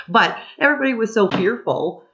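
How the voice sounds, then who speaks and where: A woman's voice: on the high side, fairly bright tone. A woman podcast guest telling personal stories conversationally, in a candid, emphatic way with colourful colloquial phrasing, on slightly dull audio.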